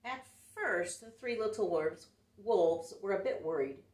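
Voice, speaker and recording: Animated woman reading a children's story. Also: mid-range voice, measured pace. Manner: animated, expressive read-aloud. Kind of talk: reading a picture book aloud to children. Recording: echoey room, voice a bit far from mic.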